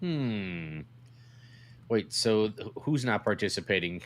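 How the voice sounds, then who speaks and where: A man's voice: lowish voice, animated, slow pace. A man co-hosting a podcast with casual, joking banter. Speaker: podcast co-host.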